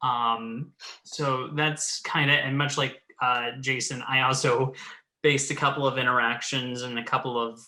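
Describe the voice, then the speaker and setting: A man with a bright, forceful voice in a casual retrospective chat, talking as a writer and director. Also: mid-range voice, steady tone, measured pace. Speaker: writer-director of an audio drama, panel guest.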